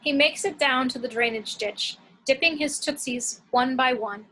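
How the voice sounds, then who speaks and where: Bright, high-voiced woman narrating a script. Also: moderate pace, poor audio. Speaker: narrator reading action descriptions. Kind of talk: scripted narration at an amateur table read.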